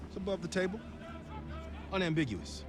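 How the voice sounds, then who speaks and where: A man's voice: high-pitched, animated, moderate pace, music in the background. A man playing a weary, bitter, wry pilot in a video game cutscene.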